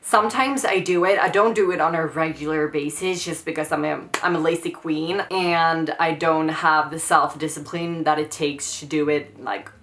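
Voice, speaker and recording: A woman in her thirties with a Swedish accent answers viewer questions in a relaxed vlog. Her voice sits low but has a bright, forward edge. She talks hesitantly, restarting and trailing off, with plenty of fillers. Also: moderate pace, hardly any pauses, a little hiss or hum. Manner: hesitant, trailing off, many likes and ums. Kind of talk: casual Q&A vlog.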